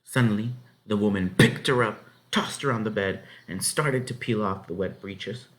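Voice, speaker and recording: A man reading a children's novel aloud as narrator, in an expressive, dramatic way. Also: lowish voice, normal speed, poor audio. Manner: dramatic, animated. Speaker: story reader.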